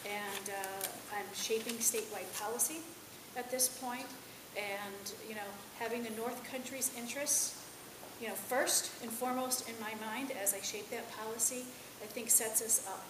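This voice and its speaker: A woman politician giving a campaign speech to a local party meeting, halting and full of fillers. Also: on the high side. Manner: halting, filler-heavy delivery. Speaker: state assembly member. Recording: echoey room with hiss.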